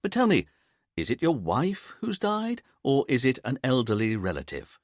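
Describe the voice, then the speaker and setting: A man's voice: medium pitch, wildly swooping pitch, normal speed, dry recording, clean studio sound, voice pushed up. A man voicing an uptight, fussy police detective who speaks in a clipped, exasperated way. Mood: stern.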